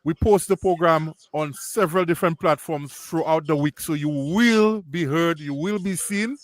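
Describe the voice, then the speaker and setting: A man's voice: a little high, normal speed, dry recording. A man from Lucia, hosting an interview in an upbeat, chatty way and repeating his phrases. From Saint Lucia. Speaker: show host. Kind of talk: casual interview chat.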